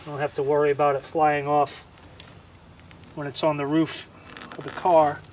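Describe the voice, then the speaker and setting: A man casually walking the viewer through his gear outdoors, relaxed and chatty with frequent ums and restarts, recorded on a handheld camera. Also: fairly high pitch, normal speed, a little hiss or hum. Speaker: outdoors vlogger. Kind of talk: casual show-and-tell walkthrough. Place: outdoors beside a canoe.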